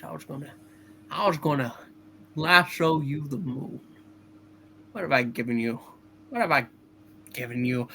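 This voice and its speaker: A man playing an earnest, frustrated small-town young husband, halting and emotional, in a hammed-up radio play reading. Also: a little high, vocal fry, bright, forceful tone, slight background noise, exaggerated voice. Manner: halting, emotional, repeating himself.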